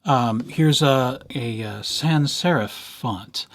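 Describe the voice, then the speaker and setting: A man giving an informal software tutorial as a library instructor, talking conversationally with lightly playful asides. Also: mid-range voice, slow.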